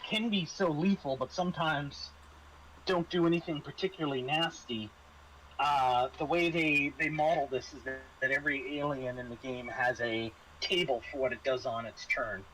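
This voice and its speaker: A man running a tabletop horror game as game master, improvising narration in a halting, stammering way as he searches for words. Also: mid-range voice. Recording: noisy room recording with clear background noise.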